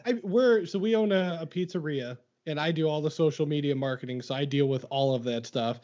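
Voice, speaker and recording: A man's voice: a little high, brisk, darkish tone. A man from Northwest Indiana hosting a casual podcast interview, chatty and laughing easily. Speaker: podcast host. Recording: muffled remote audio.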